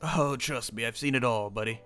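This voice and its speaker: A man's voice: mid-range voice, moderate pace, fairly bright tone, faint music behind. A young man roleplaying an old immortal wizard, rambling and restarting his sentences. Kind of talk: in-character roleplay chat.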